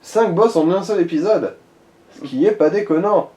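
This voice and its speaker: A man's voice: sits high, normal speed, dark tone. A man giving casual, crude, slangy commentary and advice on a video game. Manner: crude, slangy, swearing freely. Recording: roomy echo, music behind.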